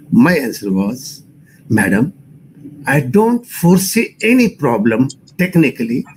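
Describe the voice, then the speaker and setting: An older man with an Indian accent and a slightly husky voice, giving an explanatory talk in measured, formal phrasing as a technical expert. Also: medium pitch, darkish tone, slight background noise, poor audio. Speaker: radio engineering expert giving a presentation. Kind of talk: explanatory lecture with personal recollection.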